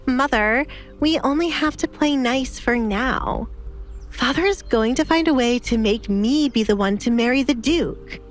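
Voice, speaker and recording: A woman's voice: a little high, a little slow, soft background music, close to an everyday voice. A woman playing a jealous, squeamish young noble daughter in an audio drama, whiny and indignant. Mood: scheming.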